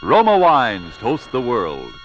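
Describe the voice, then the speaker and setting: Male sponsor announcer, 1940s radio, very animated intonation, polished pitch, lo-fi sound, faint music. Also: slow pace. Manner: polished, emphatic salesmanship. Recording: dull vintage 1940s radio recording.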